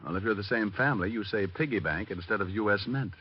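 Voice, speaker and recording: Man acting a wisecracking low-voiced American private eye. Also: quick pace, slight background noise, natural voice. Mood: glib, flirtatious. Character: wisecracking, flirtatious male private detective. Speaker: lead actor and narrator of detective radio drama. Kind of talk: golden-age American radio acting. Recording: muffled old radio recording.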